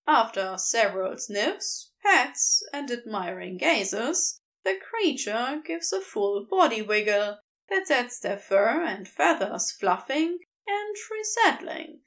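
A woman reading a fan story aloud as narrator, in an expressive, unhurried manner. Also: fairly high pitch. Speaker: podfic reader.

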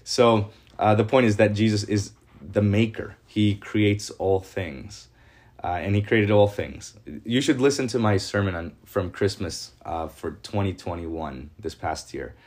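A man's voice: on the low side, unhurried, darkish tone. A man teaching from the Bible as a pastor, talking informally with rhetorical questions and frequent hesitations. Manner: conversational, asks rhetorical questions, many hesitations.

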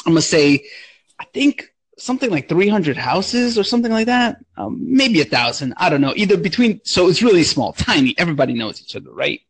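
A young man with a somewhat high, husky voice chats with energy on a podcast. His stories come out loose and profane. The recording is dry and close. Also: fairly quick, no room sound. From Romania. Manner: animated, profane storytelling. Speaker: podcast co-host. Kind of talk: casual chat.

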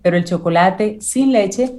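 A woman's voice: medium pitch, a little slow, mellow, soft background music. A woman co-hosting a radio show, explaining things in an informative, chatty way. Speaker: radio co-host.

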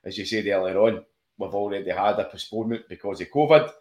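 A man co-hosting a podcast, chatting fast and casually, stumbling over restarts and swearing freely. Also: fairly low pitch, darkish tone. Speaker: podcast co-host. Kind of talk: casual football chat. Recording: echoey room.

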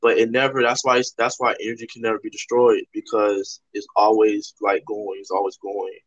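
A man's voice: on the low side, little pitch movement, moderate pace, a bit bright, no echo. A man from Toronto hosting a podcast, explaining ideas in an earnest, rambling way with lots of "like" fillers. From Toronto, Canada. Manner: rambling, heavy use of "like" fillers. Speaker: podcast host. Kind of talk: casual conversational discussion. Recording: slightly dull lo-fi audio.

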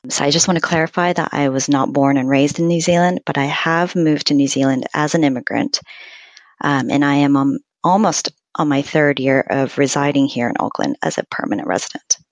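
A woman born in Japan and raised in several countries, a journalist guest who speaks in a measured, careful way. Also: low-pitched, no echo.

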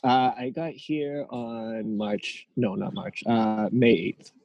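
A man in his late twenties, a guest talking casually and haltingly, with lots of fillers and restarts. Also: medium pitch, steady tone, darkish tone, dry recording, dull audio. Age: 20s. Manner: hesitant, many fillers and restarts. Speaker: interview guest. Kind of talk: casual conversation.

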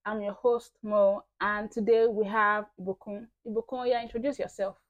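A woman's voice: mid-range voice, fairly even intonation, normal speed, phone-quality sound. A woman hosting a casual podcast, chatty and informal, laughing easily with her guest. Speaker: podcast host.

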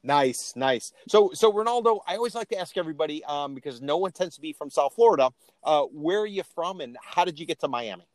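A man's voice: a little high, expressive, a little fast, no room sound. A man hosting an interview podcast in a casual, chatty, informal way with emphatic delivery. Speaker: podcast host.

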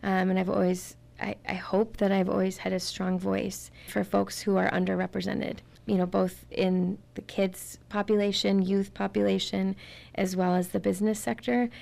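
Flat-toned gravelly woman, earnest guest. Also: medium pitch, normal speed. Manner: earnest, warm, repeats words for emphasis. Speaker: interview guest, community volunteer. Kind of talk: casual interview talk.

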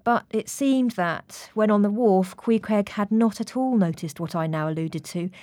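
A woman narrates a literary novel as an audiobook reader. Her voice sits at a medium pitch. The studio sound is dry, with no room around it. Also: moderate pace, warm tone, no echo. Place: recording studio.

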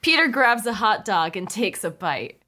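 A woman's voice: medium pitch, sing-song, moderate pace, sharp tone. A woman reading stage directions in an amused way as the narrator of a comedic script table read.

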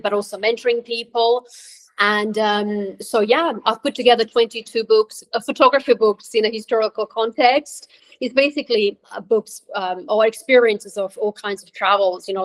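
A woman guest on a podcast who grew up in Switzerland, speaking at a middle pitch. She pauses often, filling gaps with ums and circling back to restart her sentences. Her voice comes through a remote call. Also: rough recording. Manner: hesitant, many ums and restarts. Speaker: podcast guest, career coach. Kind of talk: conversational interview.

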